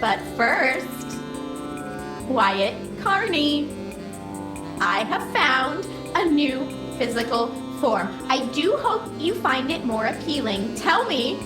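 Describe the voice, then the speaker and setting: A woman's voice: sits high, sing-song, slow pace, full character voice. A woman voicing a naive, eager AI computer with a girlish anime avatar, formal and childlike in manner. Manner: formal, childlike, literal-minded. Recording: roomy echo. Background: music.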